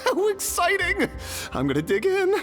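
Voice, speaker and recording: An actor playing an eager, hesitant, good-natured young food judge in a video game, with a husky voice. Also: very high voice, wildly swooping pitch, moderate pace, a bit bright, exaggerated voice. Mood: excited. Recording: reverberant, with music underneath.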